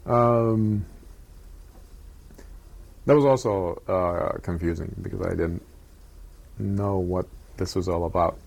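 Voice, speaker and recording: An older man from Japan, recalling his childhood in an oral history interview, talking haltingly with many pauses and fillers. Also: low-pitched, vocal fry, a little mellow.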